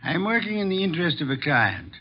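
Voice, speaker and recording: An actor playing a shrewd, imperious private detective with formal, deliberate speech in an old radio drama. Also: male voice, a little high, big pitch swings. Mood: measured, probing. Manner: formal, deliberate, precise questioning. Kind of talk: 1940s-50s radio detective drama acting. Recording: dull old radio broadcast recording.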